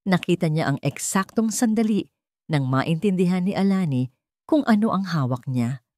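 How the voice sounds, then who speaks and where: Low-voiced woman narrating a drama, dry sound. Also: lively intonation, moderate pace, warm tone, no room sound. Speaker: story narrator. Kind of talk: dramatic scripted story narration.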